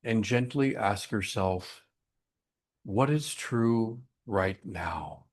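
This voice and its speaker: A man with a fairly low voice guides a breathing meditation. He speaks gently and without hurry, giving short instructions and letting long silences sit between them. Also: expressive. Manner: gentle, unhurried, with long pauses.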